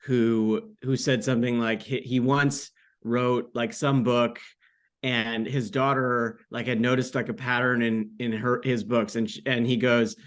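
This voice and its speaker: Thirties guy chatting as a podcast guest, mid-pitched voice, rambly and informal, says like a lot. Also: level tone, measured pace, fairly bright tone. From United States, born in France. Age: 30s. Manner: rambling, informal, frequent "like" asides. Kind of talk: casual interview chat.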